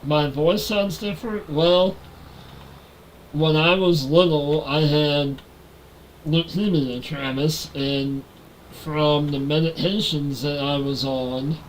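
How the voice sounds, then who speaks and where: A man's voice: on the high side, slow, slight background noise, poor audio. A man in his forties with a nasal voice, chatting casually with viewers on a live stream while playing a game on a headset mic. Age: 40s. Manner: relaxed, talks to chat while playing.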